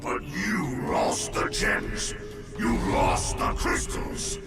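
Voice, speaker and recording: A man voicing a tyrannical, furious ancient evil mask spirit in a video game, gravelly, booming and commanding. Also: drawn-out words, recorded from a distance. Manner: menacing, theatrical, commanding. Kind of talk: villainous video game acting. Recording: very echoey, loud music behind.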